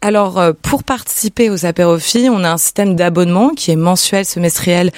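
A woman's voice: lowish voice, fairly quick, a little mellow, no echo, clean studio sound. A woman from Lyon, France, guest on a radio show, talking about the women's meetup network she founded. She speaks spontaneously, with many fillers and restarts. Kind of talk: radio interview, spontaneous speech.

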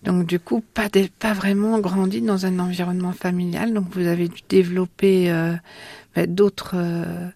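Gentle woman interviewer. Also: fairly low pitch, flat delivery, normal speed, creaky, no room sound. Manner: gentle, prompting, many fillers. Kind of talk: interview questions.

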